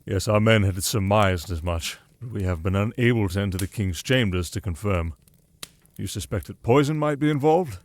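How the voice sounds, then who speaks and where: A man's voice: fairly low pitch, moderate pace, natural voice. A man playing a protective, exasperated retainer to a noble lady in a fantasy audio drama, formal and dutiful in speech. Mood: grave, formal.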